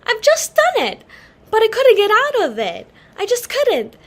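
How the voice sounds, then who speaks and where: A woman's voice: very high voice, wildly swooping pitch, unhurried, full character voice. A woman playing a flustered, apologetic young daughter of a society hostess in a stage comedy reading, anxious and pleading. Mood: panicked, guilty. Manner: anxious, pleading, self-deprecating.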